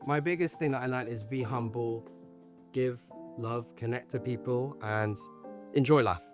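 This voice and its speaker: A man's voice: medium pitch, normal speed, soft background music. A young man who works as an actor, talking casually and candidly about his career in a podcast chat.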